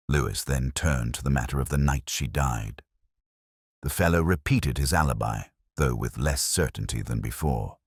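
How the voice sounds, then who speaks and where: A man reading a scripted first-person detective story in a measured, solemn, literary manner. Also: very deep voice, no echo, studio quality. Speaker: narrator.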